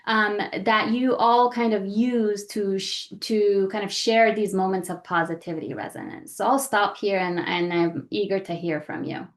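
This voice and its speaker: A woman from Iran leading a group session, speaking conversationally in a reflective way with frequent pauses. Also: mid-range voice.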